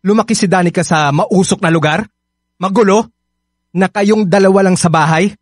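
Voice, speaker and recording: A man's voice: high voice, moderate pace, dry recording, clean studio sound. A Filipino-accented man acting in a radio drama as an evasive, resentful young husband and father on the defensive. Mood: resentful, frustrated.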